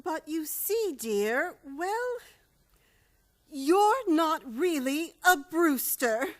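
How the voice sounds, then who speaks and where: A woman acting a kindly, fussy elderly aunt with a gentle, dithering manner in a radio play comedy. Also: high voice, very animated, very slow, exaggerated voice.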